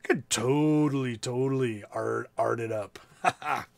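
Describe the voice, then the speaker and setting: A man's voice: medium pitch, creaky, dry recording. An American man hosting a children's storytime, reading playfully with chatty, casual asides. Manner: playful, animated, dramatic drawn-out reading. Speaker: storytime reader and host.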